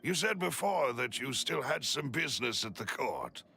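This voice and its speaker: An actor voicing a polite, formal gentleman retainer with a gravelly voice in scripted game dialogue. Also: mid-range voice, big pitch swings, moderate pace, soft background music, heavily acted. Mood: courteous.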